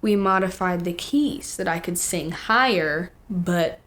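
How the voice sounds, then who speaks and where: A teenage young woman chatting casually, telling stories in an animated way with acted-out quotes and plenty of "like". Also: lowish voice, a little slow. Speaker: young singer and songwriter, interview guest. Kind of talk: casual chat, storytelling.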